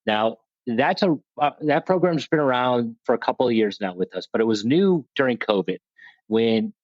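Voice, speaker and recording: A man's voice: mid-range voice, lively intonation, moderate pace, no echo, highs cut off. A man being interviewed about his veterans program, speaking in a plainspoken, rambling, self-deprecating way with frequent restarts. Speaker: guest, veterans motorsport program leader. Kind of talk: conversational interview.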